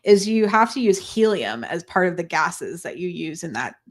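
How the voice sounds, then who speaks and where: A woman's voice: on the low side, sing-song, moderate pace. A woman co-hosting a podcast in casual, chatty banter, given to nerdy explaining. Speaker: podcast co-host.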